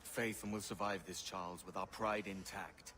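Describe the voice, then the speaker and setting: A brash young pirate captain. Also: male voice, low-pitched, fairly even intonation, normal speed, pushed and bright, a little hiss or hum. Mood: confident. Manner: brash, confident. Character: cocky young pirate captain, bold schemer.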